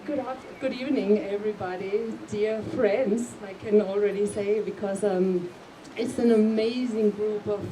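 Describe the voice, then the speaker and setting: A woman from Austria talks on a panel, friendly and rambling, with plenty of fillers. Her voice is mellow, and she sounds a little distant from the mic, with a faint hum behind her. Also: medium pitch. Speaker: panel guest. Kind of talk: casual panel talk.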